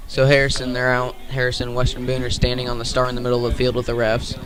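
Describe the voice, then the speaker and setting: An American man works as a play-by-play announcer on sports radio. His voice sits at a medium pitch and moves very little in tone. He delivers commentary in a measured, informational way. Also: completely flat delivery, low-quality recording.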